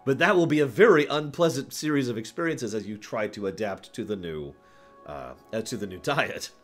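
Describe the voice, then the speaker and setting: A man hosting a livestream, answering viewer questions in casual, off-the-cuff commentary with an animated, playful way of talking. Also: mid-range voice, a little fast, faint music behind. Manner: animated, chuckles through his points, acts out examples.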